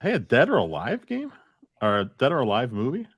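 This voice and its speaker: A man's voice: medium pitch, wildly swooping pitch, fairly quick, husky, no room sound. A man in his fifties hosting a video game podcast in a casual, chatty way. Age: 50s. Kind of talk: casual chat.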